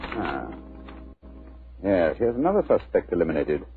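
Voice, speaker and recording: A man's voice: deep voice, very animated, moderate pace, breathy edge, heavily acted. A man playing a shrewd, confident English detective with a clipped, incisive manner, in a 1940s radio drama. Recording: dull old radio recording, music behind.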